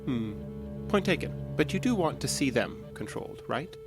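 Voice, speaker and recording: An actor playing a controlled, secretly murderous male therapy patient in an audio drama, speaking in a deliberate, halting, guarded way. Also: on the high side, sing-song. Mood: coolly probing. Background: music.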